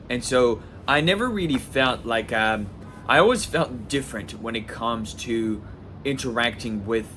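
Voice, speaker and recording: A man's voice: mid-range voice, a bit bright. Young man, twenties, casual vlog, rambling, restarts, faint background hum. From southwest France. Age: 20s. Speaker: vlogger. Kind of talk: casual personal vlog monologue.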